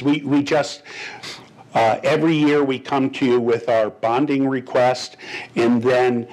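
A man's voice: mid-range voice, a little mellow, rough recording. An older man speaks into a desk microphone in an indoor boardroom. He talks the board through a finance item plainly, stumbling into restarts as he goes. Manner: plain-spoken, gestures a lot, restarts often. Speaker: finance official. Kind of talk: explaining to a board. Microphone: desk microphone on a stand.

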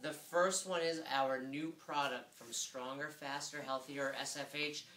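A man's voice: mid-range voice, steady tone, a little slow, fairly bright tone, a little hiss or hum. Upbeat man in an echoey office. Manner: upbeat, gestures a lot with both hands. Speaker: gym owner giving weekly update. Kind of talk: casual talk to camera. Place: small office room with desk and chairs. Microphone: fixed camera a few feet away.

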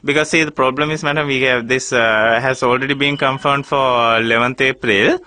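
A man's voice: medium pitch, steady tone, normal speed, sharp tone, slight background noise. A man with a Sri Lankan accent making a prank phone call, stammering through overly polite airline-agent talk. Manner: hesitant, stammering, fake customer-service politeness. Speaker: radio prank caller.

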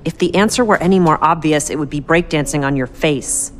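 Low-voiced, wisecracking young woman played by an actress. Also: fairly quick, slight background noise, lightly acted. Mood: cocky, persuasive. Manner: glib, sarcastic. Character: cocky, wisecracking, persuasive young woman plotting heists. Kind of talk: video game cutscene acting.

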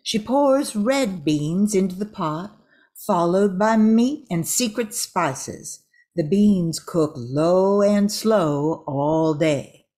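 A woman's voice: mid-range voice, expressive, slow, mellow. An older woman reading scripted narration for an amateur radio play.

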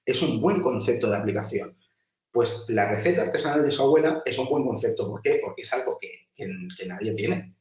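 A man teaches a design class over slides, chatty and full of restarts. His voice sits at an everyday middle pitch. It comes a distance into a roomy space, with dull, muffled audio. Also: animated, fairly quick, darkish tone. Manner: chatty, many restarts and filler, addresses class directly. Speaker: design instructor. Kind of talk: webinar lecture over slides.

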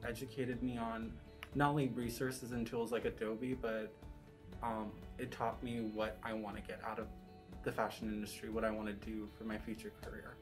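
A young woman design student from Pittsburgh, speaking in a measured way with pauses. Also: rumbling low, level tone, music in the background. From Pittsburgh area. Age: young adult.

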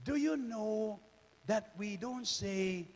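A middle-aged man preaching a sermon on a church stage with a handheld microphone, swinging from loud shouts to hushed near-whispers. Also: sits high, slow pace. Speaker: minister. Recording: reverberant church hall.

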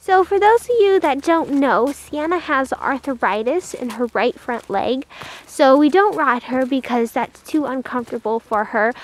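Chatty woman doing a casual horse vlog, high voice, fond way of talking about animals, pretty dry sound. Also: no room sound, poor audio. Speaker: horse vlogger.

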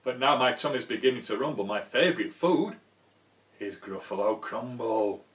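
A man's voice: mid-range voice, lively intonation, normal speed, distant mic, lightly acted. A man voicing a small, clever mouse who boasts and exclaims in a storybook. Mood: boastful.

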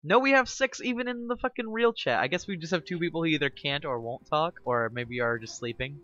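A male game streamer in casual live chat with viewers, excitable and teasing, laughing often. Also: a little high, a little fast, highs cut off.

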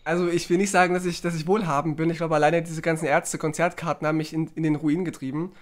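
A man co-hosting a podcast, chatty and rambling, with hesitations and fillers between thoughts. His voice sits a little higher than usual for a man. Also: little pitch movement, fast. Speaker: podcast co-host. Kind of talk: casual podcast banter.

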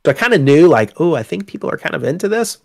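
A man's voice: on the high side, big pitch swings, fast, vocal fry. A man with a congested, slightly sick voice, talking casually as a guest about running his tabletop game company, with many fillers and restarts. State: congested from a cold. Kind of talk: casual interview conversation. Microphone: home microphone on a call.

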